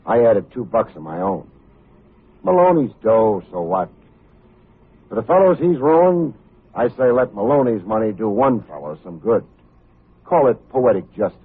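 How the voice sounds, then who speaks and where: An actor playing a tough, wry but good-hearted private detective in old-time radio style, with a hardboiled, wisecracking manner. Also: male voice, fairly low pitch, big pitch swings, a little slow, long pauses, husky, exaggerated voice. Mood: urgent, assured. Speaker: lead actor and narrator. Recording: muffled old radio recording, music behind.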